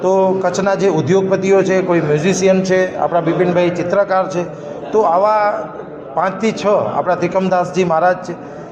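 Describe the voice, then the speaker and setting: High-voiced man in echoey crowded hall. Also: normal speed. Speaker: award organiser. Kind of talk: informal interview statement. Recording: echoey hall, dull sound, loud crowd.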